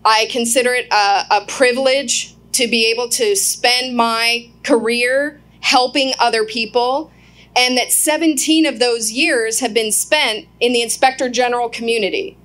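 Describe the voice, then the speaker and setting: Woman in her 40s, guest speaker, earnest public address, fairly high voice, heard through a PA at some distance. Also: fairly even intonation, a little slow, a bit bright. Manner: earnest, asks the crowd questions. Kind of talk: public speech to a live crowd. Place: outdoor event.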